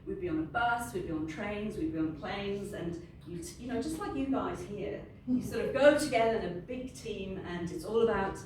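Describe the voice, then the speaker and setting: A woman's voice: mid-range voice, moderate pace, hardly any pauses. Welsh woman, native welsh speaker, conversational talk, faint mic, noisy background. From Wales. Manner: conversational, unscripted, friendly. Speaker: industry panel speaker. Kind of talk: informal presentation to a group. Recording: very echoey room, distant mic, ambient noise.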